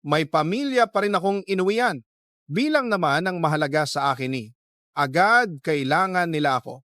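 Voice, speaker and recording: A man's voice: on the high side, lively intonation, normal speed, a bit bright, dry recording, studio quality. A man voicing a self-sacrificing young woman in a radio drama, hesitant and resigned.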